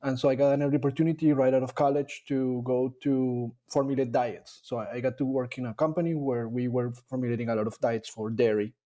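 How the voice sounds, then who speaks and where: A man from Colombia talks through his path in a relaxed interview. His voice is warm and mellow, at a middle pitch. He pauses often and restarts his sentences as he thinks. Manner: thoughtful, with frequent fillers and restarts. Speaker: dairy nutrition scientist guest. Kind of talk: casual interview conversation.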